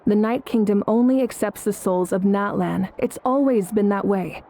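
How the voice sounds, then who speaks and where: An actress playing a calm, resolute female leader in a video game, composed and authoritative. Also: medium pitch, normal speed, natural voice. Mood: firm, resolute. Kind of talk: English video game character acting.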